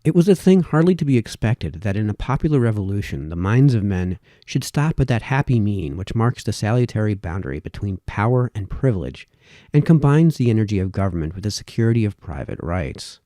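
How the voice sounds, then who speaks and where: A man reading formal historical essays aloud, with measured, emphatic phrasing that falls away at sentence ends. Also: lowish voice, sing-song, dark tone, no echo, clean studio sound.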